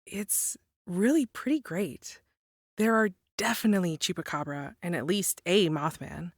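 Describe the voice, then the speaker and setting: An enigmatic woman from a monstrous world. Her voice is on the low side and a little breathy, recorded dry. She unspools her tale in a hushed, lyrical, gently teasing way, as in an audio drama. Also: dry recording. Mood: amused, fond. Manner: measured, lyrical, dreamy. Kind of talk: audio drama acting, hushed bedtime storytelling.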